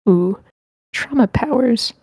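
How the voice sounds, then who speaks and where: A woman's voice: fairly high pitch, lively intonation, slow, dark tone, husky, no room sound. A woman hosting an online group session, casually moderating the chat and reading comments aloud. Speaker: host of an online script table read.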